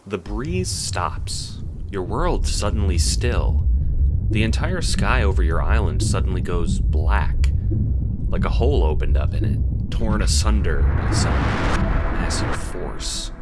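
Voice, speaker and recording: A man's voice: sits low, lively intonation, takes it slowly, over loud music. A man narrating an audio drama as scripted, dramatic second-person storytelling.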